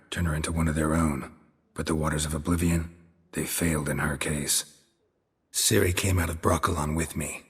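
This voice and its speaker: An actor playing a stoic, weary middle-aged monster hunter in a video game, with a gravelly, husky voice and terse, clipped delivery. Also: male voice, extremely low pitch, a bit bright, full character voice. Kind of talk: restrained video game dialogue.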